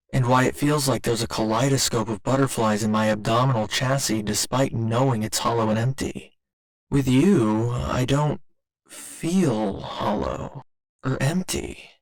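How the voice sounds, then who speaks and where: A man voicing a gentle robot boyfriend in an ASMR roleplay, with formal, clinical phrasing delivered tenderly and a little hesitantly. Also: medium pitch, creaky, rough recording. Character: gentle male robot boyfriend learning to feel love.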